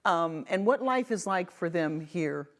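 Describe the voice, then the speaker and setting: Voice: mid-range voice, animated, measured pace, a bit bright. A television show host interviewing a guest in a friendly way, with frequent ums and mm-hmms.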